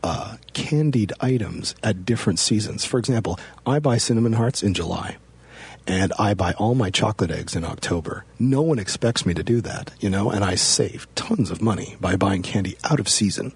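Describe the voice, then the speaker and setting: A man in a deadpan comedy chat, playing a pompous professor who rambles with mock authority. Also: fairly low pitch, moderate pace, slightly rough, no room sound.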